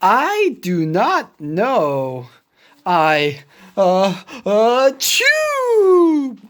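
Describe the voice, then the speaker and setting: A woman's voice: a little high, wildly swooping pitch, full character voice. Woman voicing a melodramatic, anxious elephant. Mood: worried. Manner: halting, drawn-out, dramatic delivery. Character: anxious, dramatic male elephant with sneezing fits. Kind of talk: children's picture-book read-aloud.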